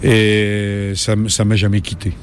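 A man in his late sixties, a travel reporter, answering interview questions in a relaxed way, pausing often with fillers and restarting his sentences. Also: on the low side, slight background noise. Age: 60s. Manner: hesitant, many fillers and restarts.

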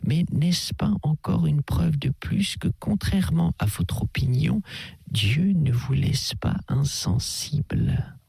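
A kindly older priest, put on by a male reader, who reassures in a breathy near-whisper, his voice lifted a little above the reader's own, with a gravelly edge. He speaks slowly and patiently. The recording is close and dry. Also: on the high side, expressive, no room sound, voice pushed up, exaggerated voice. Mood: gently persuasive. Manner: soft, half-whispered reassurance. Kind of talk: audiobook character voice.

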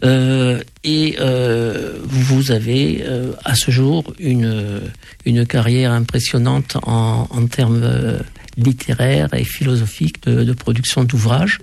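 A male radio host with a gravelly, slightly raspy voice at a middle pitch. He introduces his guest and asks formal, measured questions, padding them with hesitant fillers. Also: fairly even intonation, darkish tone. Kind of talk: radio interview.

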